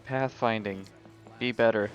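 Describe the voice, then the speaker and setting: A man giving casual, wry live commentary as he streams a video game, in a creaky voice. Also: fairly low pitch, fairly even intonation, unhurried, lo-fi sound, soft background music. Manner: wry, sarcastic.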